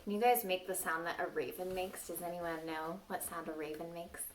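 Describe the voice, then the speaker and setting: A woman's voice: on the low side, fairly even intonation, normal speed, slight background noise. A woman reading a story aloud to young children, playfully stopping to ask them questions and exclaim. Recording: distant microphone.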